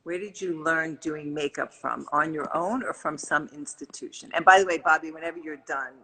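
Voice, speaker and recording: A woman's voice: low voice, moderate pace, a bit bright, breathy edge, low-quality recording. A woman hosting a live video chat, reading out viewer questions in an upbeat way. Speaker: host. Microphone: phone or laptop on a live video call.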